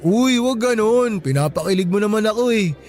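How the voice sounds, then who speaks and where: A man's voice: high voice, lively intonation, normal speed, darkish tone. A man with a Filipino accent playing an affectionate, playful boyfriend in a radio drama. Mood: teasing, sweet. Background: background music.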